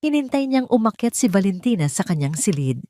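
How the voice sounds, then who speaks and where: A woman's voice: mid-range voice, big pitch swings, a little fast, mellow. A Filipino woman reading a scripted dramatic story as its narrator.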